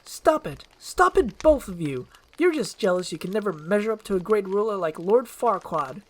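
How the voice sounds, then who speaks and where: Casting: woman as young princess, demanding and romantic, courtly diction, very animated intonation, storybook comedy. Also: mid-range voice, normal speed. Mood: outraged. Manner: imperious, archaic courtly phrasing.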